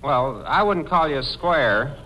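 A man's voice: medium pitch, lively intonation, measured pace, lightly acted. A man playing a brash, lovestruck teenage nephew in old radio comedy, boyish and earnest. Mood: exasperated, hesitant. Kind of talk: 1940s radio comedy acting. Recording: slightly noisy vintage radio broadcast.

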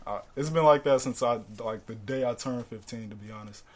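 A relaxed young-sounding man talks casually among friends on a podcast, his voice sitting at an ordinary pitch. He answers loosely and hesitates when a question gets personal. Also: a little fast. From born in Austria, raised in Pittsburgh. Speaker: podcast co-host. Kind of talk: casual group chat.

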